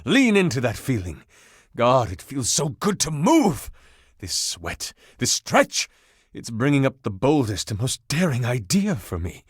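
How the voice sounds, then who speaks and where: An actor playing a flamboyant, excitable washing machine in a video game, grandiose, romantic and melodramatic in delivery. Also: male voice, mid-range voice, unhurried, husky, no echo, studio quality, heavily acted. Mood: passionate. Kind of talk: theatrical video game voice acting.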